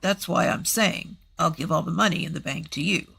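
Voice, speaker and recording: A woman's voice: fairly low pitch, a little fast, vocal fry, fairly bright tone, husky, soft background music, voice pushed down, exaggerated voice. A woman voicing a greedy, sullen, impatient adult son in a narrated family story. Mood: indignant.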